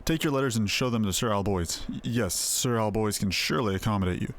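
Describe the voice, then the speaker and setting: A man's voice: on the low side, quick pace, close to an everyday voice. A man voicing a world-weary, sardonic knight in a fantasy video game, dismissive and archaic in his phrasing. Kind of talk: video game character dialogue, read aloud. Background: music.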